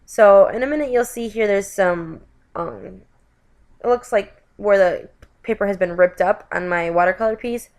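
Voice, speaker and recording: A woman's voice: mid-range voice, moderate pace, a little mellow. Woman, craft host, voiceover. Stuffy from a cold, friendly running chatter with self-corrections. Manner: chatty, with frequent self-corrections and "you guys". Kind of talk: voiceover narration of a card-making tutorial.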